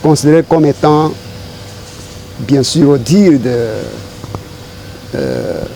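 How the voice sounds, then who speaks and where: A middle-aged man from Congo answering interview questions in a deliberate, formal, rhetorical way. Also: on the high side, vocal fry, dark tone, slight background noise. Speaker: interview guest.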